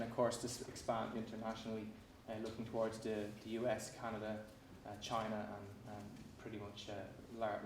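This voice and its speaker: A man with an Irish accent giving a startup pitch, often restarting his sentences around "uh" and repeated words. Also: darkish tone. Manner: frequent fillers and repeated restarts. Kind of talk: pitch presentation. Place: conference hall. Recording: echoey hall, distant mic, faint noise.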